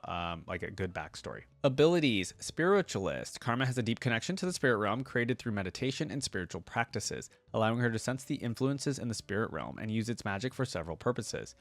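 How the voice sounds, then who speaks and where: A man's voice: fairly low pitch, no room sound. Man giving casual lore commentary, dry sound. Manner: conversational, tentative asides with frequent hedging. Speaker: host of a fan reaction channel. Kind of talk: casual reaction commentary mixed with reading lore aloud.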